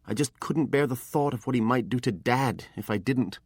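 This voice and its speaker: A man voicing an anxious, earnest teenage boy apprentice who talks fast, pleading and emotional, in a storybook reading. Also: medium pitch, breathy edge, no echo, studio quality, voice pushed up, natural voice.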